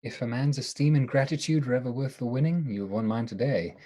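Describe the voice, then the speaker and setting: A woman playing an earnest, stern and excitable male asylum doctor in a radio play. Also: rumbling low, fast talker.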